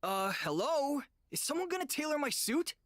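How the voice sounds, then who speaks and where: A man's voice: very high-pitched, big pitch swings, moderate pace, fairly bright tone, dry recording, studio quality, exaggerated voice. An actor playing an arrogant, impatient businessman with a pompous, sneering manner in a game trailer, with music behind him. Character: arrogant, impatient businessman customer demanding tailoring. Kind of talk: English game trailer voice acting.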